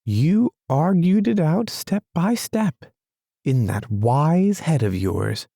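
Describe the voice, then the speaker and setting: A man's voice: mid-range voice, sing-song, a little slow, mellow, dry recording, clean studio sound, voice pushed up. A man voicing a fussy, literal-minded male mole in a storybook reading, with a prim, pettish manner. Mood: admiring.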